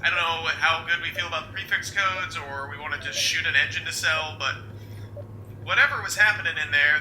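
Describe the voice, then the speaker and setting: Very bright male voice in echoey room. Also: fairly high pitch. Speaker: tabletop game player. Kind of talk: casual improvised role-play chat. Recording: echoey room, faint noise.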